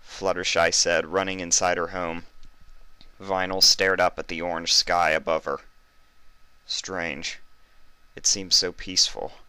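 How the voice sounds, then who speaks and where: A man's voice: very deep voice, bright, forceful tone, no room sound. A man giving a scripted narration of a fan story.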